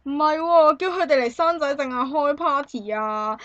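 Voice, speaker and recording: A woman's voice: high voice, normal speed, highs cut off. A woman with a Hong Kong Cantonese accent acting an expectant young woman visiting a friend in a satirical radio drama, chatty, exclamatory and indignant. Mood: indignant, mocking. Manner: animated, exclamatory, colloquial.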